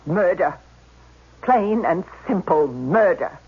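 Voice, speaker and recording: Indignant, principled scientist, radio drama; husky, swooping, accusing delivery on a muffled vintage broadcast. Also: female voice, on the low side, animated, slow pace, very noisy. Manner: earnest, accusing. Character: indignant, principled scientist defending intelligent beings. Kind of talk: old-time radio drama acting.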